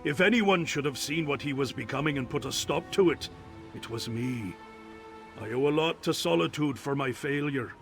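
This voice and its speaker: An actor playing a remorseful, loyal Nord warrior who talks sincerely in a video game cutscene, with music behind. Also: male voice, on the high side, moderate pace, vocal fry. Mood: regretful. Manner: regretful, sincere.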